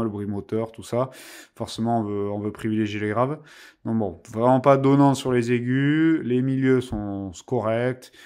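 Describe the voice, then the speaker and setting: Male reviewer, soft dark timbre, informal and self-deprecating, chatting through a hands-on demo with the odd mild swear. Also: medium pitch. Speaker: product reviewer. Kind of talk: casual hands-on review chat.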